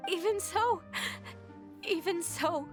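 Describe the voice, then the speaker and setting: A woman's voice: very high voice, slow. An actress voicing a timid young girl from an orphanage, nervous and stammering. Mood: tearful. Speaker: game character. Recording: game audio with music behind.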